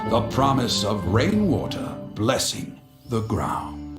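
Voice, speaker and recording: A theatrical male storyteller recites game legend in a declamatory style. His voice is highly animated and echoes, with loud music playing behind it. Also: slow. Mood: stately. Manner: dramatic, declamatory. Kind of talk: dramatic storytelling in a video game.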